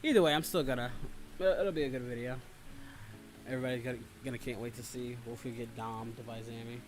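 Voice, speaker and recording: A man's voice: mid-range voice, steady tone, quick pace, over music, rough recording. A man hosting a casual livestream, chatting with viewers in a rambling, repetitive way with teasing complaints. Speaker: livestream host. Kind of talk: casual livestream chat, reading and answering viewer comments.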